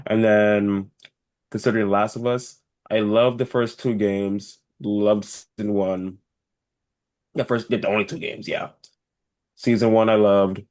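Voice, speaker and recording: Male podcast co-host, slightly low voice, emphatic and repetitive, casual, dry sound. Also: flat delivery, a little slow, darkish tone, no echo.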